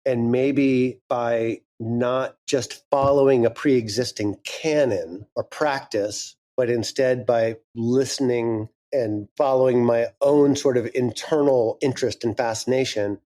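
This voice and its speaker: A man's voice: medium pitch, fairly even intonation, unhurried, hardly any pauses, dark tone. A man in his fifties, a guest speaking frankly and candidly in a casual interview, swearing now and then. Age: 50s. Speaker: guest, audio drama creator.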